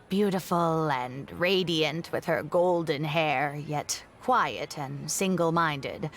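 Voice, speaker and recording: An older woman scholar in a video game. Her voice sits very low for a woman. She speaks in measured, reflective phrases with a composed, wry edge, over faint music. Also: a bit bright. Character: elderly woman scholar, former teacher, composed and reminiscing. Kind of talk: scripted video game dialogue.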